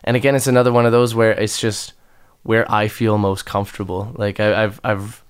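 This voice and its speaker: A man's voice: lowish voice, flat delivery, moderate pace, smooth. A young man with a slightly nasal voice, a musician answering interview questions in a loose, rambling way with many fillers and restarts. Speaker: musician guest.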